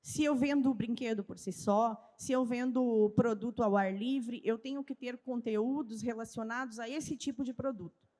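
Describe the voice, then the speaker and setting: A woman's voice: fairly high pitch, moderate pace, a little mellow. A woman with a southern Brazilian gaúcho accent giving a didactic conference talk, asking questions and answering them herself. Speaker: speaker at a trade fair. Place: large event hall with a live audience. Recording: long hall reverb.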